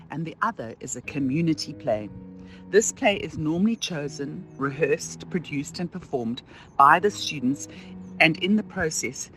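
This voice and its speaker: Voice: medium pitch, fairly bright tone, rough recording. A school presenter giving a formal, explanatory announcement about student work.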